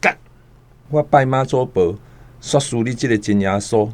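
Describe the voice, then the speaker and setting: An actor playing a coarse, pushy, envious gambler with a Taiwanese accent and a blunt, badgering manner. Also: male voice, mid-range voice, moderate pace, natural voice. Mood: grumbling.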